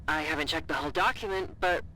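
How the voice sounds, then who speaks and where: An actor in an audio drama playing an anxious, apologetic colleague, halting and defensive as he reports over the radio. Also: a little high, pushed and bright, slight background noise, poor audio. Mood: insistent, uneasy. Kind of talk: naturalistic audio drama dialogue.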